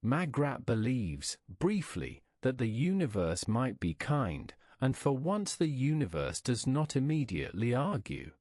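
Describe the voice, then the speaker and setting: A man reading a scripted bedtime story in a measured, wry storytelling manner. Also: mid-range voice, sing-song, darkish tone, no room sound, clean studio sound.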